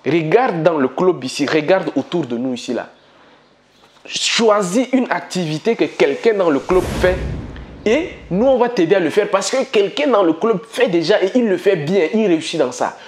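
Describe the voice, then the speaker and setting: A man's voice: expressive, normal speed, darkish tone, slight background noise. A male entrepreneur giving an emphatic motivational business talk to camera, full of repetition and rhetorical questions to viewers. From Africa. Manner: swings between high emphatic bursts and low asides. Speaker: entrepreneur and channel host.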